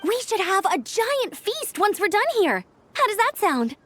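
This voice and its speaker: A woman's voice: very high-pitched, sing-song, measured pace, a bit bright, faint music behind, heavily acted. A woman voicing an upbeat young scout in a video game, youthful, breezy and confident. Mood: cheerful. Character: upbeat, energetic young female scout, eager to help.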